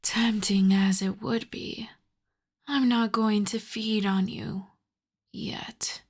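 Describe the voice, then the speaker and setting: Woman doing a seductive vampire countess for asmr, really slow n soft, breathy whispers, teasing and possessive. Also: mid-range voice, fairly even intonation. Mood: predatory, amused. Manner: slow, crooning, condescendingly tender. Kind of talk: ASMR roleplay, acted monologue.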